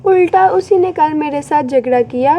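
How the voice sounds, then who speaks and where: Woman playing a high, plaintive little bird child. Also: moderate pace, dark tone, slight background noise, close to an everyday voice. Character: small young bird child, complaining to adults. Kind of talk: children's cartoon story acting.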